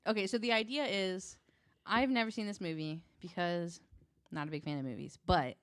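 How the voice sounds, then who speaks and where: Woman w a lowish voice joking around on a podcast, really dry sound. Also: normal speed, a bit bright, smooth, no room sound. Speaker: podcast co-host. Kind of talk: casual podcast chat.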